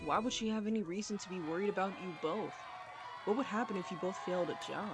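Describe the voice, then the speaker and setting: Casting: actor as male company boss, polite, guarded, measured delivery, fantasy audio roleplay with faint music. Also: sits high. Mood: curious, sympathetic. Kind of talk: fantasy audio drama acting.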